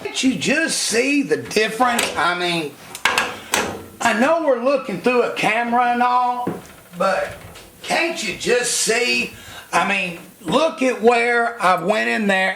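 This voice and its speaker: A man's voice: extremely high pitch, a bit bright, a little hiss or hum. A man losing his voice, walking viewers through a cylinder head job in a folksy, explanatory workshop demonstration. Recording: echoey workshop.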